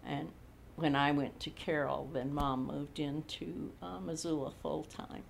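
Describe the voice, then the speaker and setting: A woman in her eighties from Montana, recalling her childhood slowly in an oral history interview. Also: deep voice, fairly even intonation, gravelly, a little hiss or hum. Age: 80s. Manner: slow, unhurried recollection with frequent pauses. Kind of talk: reminiscing in a recorded interview.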